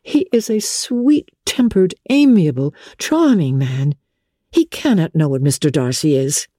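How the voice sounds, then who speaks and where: A woman voicing a spirited, indignant young Regency gentlewoman in a dramatic audiobook reading, with emphatic, incredulous delivery. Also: low-pitched, animated, a little slow, dry recording, studio quality. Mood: astonished, earnest.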